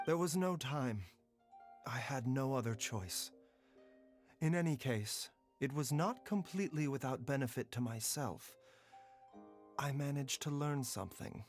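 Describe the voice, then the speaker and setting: An actor playing a calm, learned doctor in a video game, speaking in a gentle, weary and formal way. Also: male voice, medium pitch, lightly acted. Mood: weary composure. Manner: measured, formal, explanatory. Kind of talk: dramatic English game voice acting. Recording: game dialogue over music. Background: background music.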